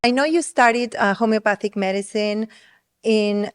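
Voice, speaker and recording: A woman's voice: mid-range voice, normal speed, smooth. Chatty woman interviewer, mid-range voice. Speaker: interview host. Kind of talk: casual chat interview.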